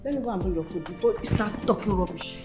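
A woman with a Nigerian accent playing a sharp-tongued, dismissive young woman in a comic film. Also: mid-range voice, fast, close to an everyday voice. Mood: scornful. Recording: roomy, distant echo with music over it.

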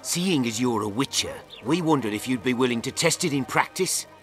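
A man's voice: medium pitch, lively intonation, brisk, a bit bright, soft background music, close to an everyday voice. Actor as a polite, guarded male alchemist. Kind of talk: video game voice acting.

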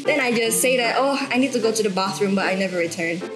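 A woman's voice: mid-range voice, fast talker. A young woman from Indonesia, whose first language is Indonesian, chatting on a panel and telling stories with lots of giggles. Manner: giggly, animated storytelling. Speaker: panel guest. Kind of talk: casual panel chat. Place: studio set with a long table. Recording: roomy sound with background music. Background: music.

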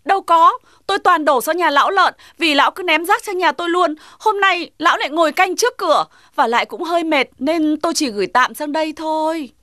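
Shrill, feisty female cartoon animal. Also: animated, brisk, hardly any pauses, pushed and bright, no room sound. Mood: defensive. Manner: whining, protesting. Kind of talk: cartoon voice acting. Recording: dry voice over background music.

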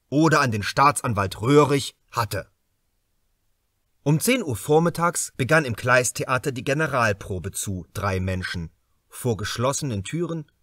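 Lively male audiobook narrator, higher-pitched voice. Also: dry recording, clean studio sound. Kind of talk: scripted crime novel narration.

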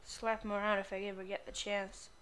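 Bright, casual woman gamer commentating. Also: mid-range voice, little pitch movement, a little fast, slight background noise. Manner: crude, sweary, playful. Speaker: gamer recording a playthrough.

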